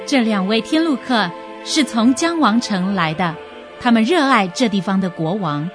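An actress playing a heavenly attendant earnestly vouching for pilgrims in a radio drama. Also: female voice, medium pitch, lively intonation, moderate pace, over music, close to an everyday voice.

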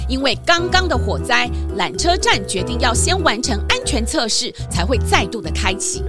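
Female children's story narrator: expressive, slightly high, music bed behind.

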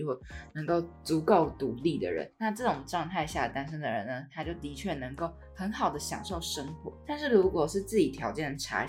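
Low-voiced Taiwanese Mandarin woman podcasting. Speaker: podcast co-host. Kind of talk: conversational podcast discussion.

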